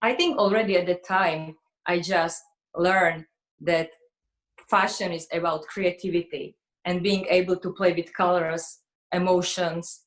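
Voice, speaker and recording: A woman in her forties from Slovakia with a Slovak accent, speaking conversationally as an interview guest. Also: fairly low pitch. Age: 40s. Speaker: interview guest, fashion model.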